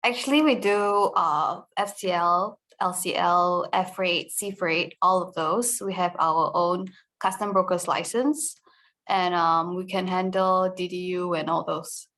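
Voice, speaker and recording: A woman's voice: on the low side, fairly even intonation, unhurried, a bit bright. A woman from Malaysia in her twenties introducing herself casually over a video call, with plenty of fillers. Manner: casual, hesitant, many fillers. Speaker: meeting attendee.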